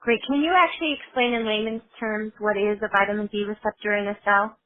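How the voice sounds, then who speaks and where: A woman's voice: mid-range voice, fairly even intonation, fairly quick. Woman interviewer over a narrow phone line. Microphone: telephone.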